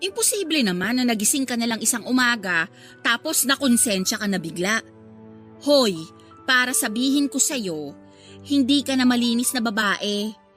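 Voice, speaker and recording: High-voiced, brazen confidante. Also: female voice, lively intonation, normal speed, a bit bright, soft background music. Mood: annoyed, challenging. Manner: scornful, confrontational. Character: blunt, brazen, nagging female confidante. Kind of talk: Filipino radio drama acting.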